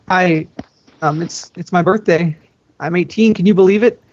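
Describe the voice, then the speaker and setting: A man voicing a lonely eighteen-year-old princess in a story reading, rambling nervously and haltingly. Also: high voice, no echo, pitched above the performer's own voice, lightly acted. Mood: nervous, hopeful. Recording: dull lo-fi microphone, dry.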